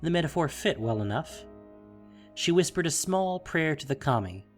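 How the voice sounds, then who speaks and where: A man narrating a scripted fantasy audio drama with measured, theatrical phrasing. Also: a little high, animated. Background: music.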